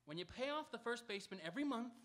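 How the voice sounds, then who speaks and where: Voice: medium pitch, lively intonation, rapid-fire, natural voice. A performer playing an exasperated, confused baseball fan in a live radio comedy double act, with insistent questions that rise into indignant outbursts. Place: auditorium stage, live radio theatre production.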